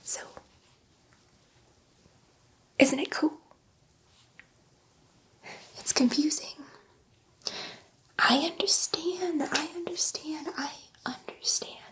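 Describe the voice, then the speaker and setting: A gentle, excitable female alien scientist, played by a woman, in a sci-fi ASMR roleplay. She whispers softly and breathily at a very unhurried pace, in a high register. Her delivery is halting and coaxing, meant to reassure a human test subject. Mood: patient, reassuring.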